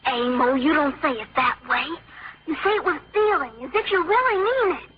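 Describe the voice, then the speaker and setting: A woman's voice: sits high, moderate pace, close to an everyday voice. An actress playing an affected, coquettish, theatrical teenage girl in an old-time radio drama. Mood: self-important. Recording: dull, faintly noisy old radio recording.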